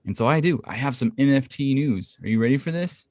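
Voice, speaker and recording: A man's voice: lively intonation, fairly quick, darkish tone, no echo, clean studio sound. A man co-hosting a casual podcast, talking at length with lots of fillers into a home condenser mic. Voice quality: thinks his voice is high-pitched. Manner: rambling, filler-heavy, explains at length. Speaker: podcast co-host. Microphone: studio condenser mic at home.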